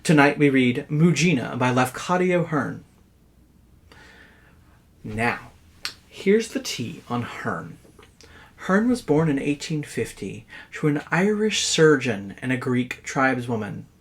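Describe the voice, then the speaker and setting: A man's voice: medium pitch, sing-song, slow pace. A man narrating horror stories as host, in a dramatic, theatrical scripted style.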